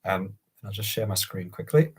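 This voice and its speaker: A man with a cold, sounding croaky, giving a hesitant online presentation full of ums and restarts over a video call. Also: lowish voice, expressive, moderate pace.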